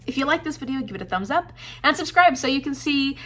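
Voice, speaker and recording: A woman's voice: high-pitched, lively intonation, fast, fairly bright tone. A young woman chatting casually in an upbeat, enthusiastic vlog, laughing often. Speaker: booktuber.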